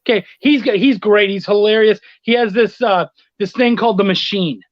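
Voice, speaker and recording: A middle-aged man talking casually as a podcast guest, chummy and quick to swear, over an online call. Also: high voice, dry recording. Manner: chummy, profane. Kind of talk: casual storytelling chat. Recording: dull, dry lo-fi sound.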